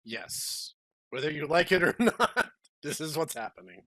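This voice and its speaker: A man hosting a podcast with playful banter, talking in a mock-grandiose, theatrical way and often repeating words haltingly as he goes. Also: on the high side, sing-song, bright, forceful tone, no room sound. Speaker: podcast host.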